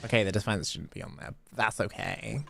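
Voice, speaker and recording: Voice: sits low, fairly quick, gravelly. A streamer chatting casually and exclaiming while playing a game, talking half to themselves. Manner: animated, exclamatory, talking to self. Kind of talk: casual livestream gameplay chatter. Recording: game music behind. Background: video game music.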